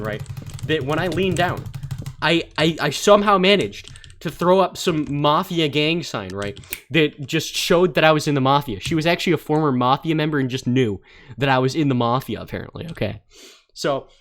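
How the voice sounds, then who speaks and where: A man telling funny stories while he plays a game. He rambles, stumbles over his words and laughs easily. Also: a little high. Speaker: gaming channel creator. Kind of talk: casual storytelling over gameplay. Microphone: desktop mic.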